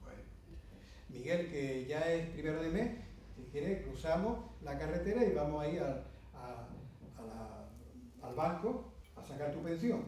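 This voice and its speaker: Andalusian guy telling a story at a talk, mellow voice, mic kinda far off in a big echoey hall. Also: on the high side, lively intonation, a little slow, a little hiss or hum. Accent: Andalusian Spanish. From Andalusia, Spain. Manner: anecdotal, many fillers and asides. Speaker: speaker at a conference. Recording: roomy hall heard from a distance.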